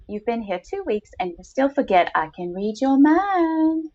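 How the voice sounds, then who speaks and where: A woman acts a forty-year-old high society dame in an audio story. She is wry and knowing, speaking slowly in a high-pitched society voice, on a slightly muffled recording. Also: sing-song, darkish tone, exaggerated voice. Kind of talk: dramatic short story reading.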